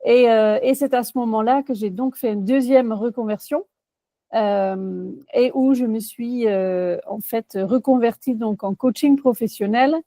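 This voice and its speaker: A woman's voice: fairly high pitch, measured pace, mellow, no echo. Dutch woman, hesitant interview answers. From Netherlands. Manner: hesitant, many fillers and restarts. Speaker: career coach.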